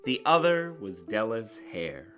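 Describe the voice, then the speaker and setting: A man giving a theatrical, deliberate dramatic reading of a literary short story in an expressive storyteller's manner. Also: lowish voice. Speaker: narrator and channel host. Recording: acoustic guitar music behind the voice. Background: plucked guitar music.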